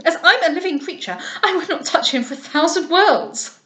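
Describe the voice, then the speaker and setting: A woman reader voicing a vain, affected young gentleman who speaks in an indignant, mannered way. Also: very high voice, very animated, extremely slow, pitched above the performer's own voice, exaggerated voice.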